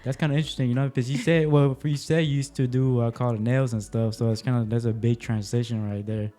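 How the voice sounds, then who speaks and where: A man's voice: medium pitch, level tone, fast, dark tone, faint music behind. A man hosting a podcast in an informal, conversational interview style. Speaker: podcast host. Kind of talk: casual interview chat.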